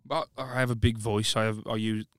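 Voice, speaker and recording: A man's voice: fairly low pitch, little pitch movement, a little fast, a bit bright, clear, resonant tone, no room sound, studio quality. A man chatting candidly as a podcast guest. He talks about his singing in a rambling way, with lots of restarts. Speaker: podcast guest, lead singer of a band. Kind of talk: casual chat.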